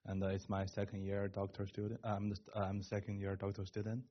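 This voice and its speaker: A young man from China, introducing himself as a music doctoral student, hesitant with filler pauses and restarts. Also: lowish voice, completely flat delivery. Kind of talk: brief self-introduction. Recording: muffled lo-fi recording.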